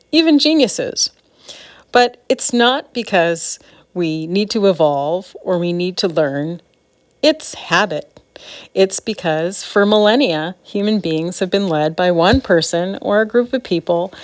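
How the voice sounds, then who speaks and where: A woman's voice: mid-range voice, no room sound. Earnest woman, closely recorded, dry sound. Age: adult. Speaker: speaker presenting own ideas. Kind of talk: informal monologue, advocacy talk.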